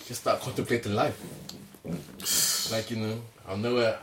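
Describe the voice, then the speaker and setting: A man's voice: lowish voice, level tone, moderate pace. A man in his mid-twenties chatting casually, playful and self-mocking. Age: 20s. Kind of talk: casual chat. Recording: roomy, slightly distant mic with faint noise.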